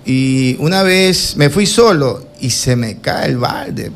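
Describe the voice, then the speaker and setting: A man with a coastal Ecuadorian accent speaks as an interview guest in a mid-pitched voice. His phrasing is formal and deliberate, with hesitations as he recounts things about himself. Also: animated, slight background noise. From Quevedo, Ecuador. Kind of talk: autobiographical interview answers.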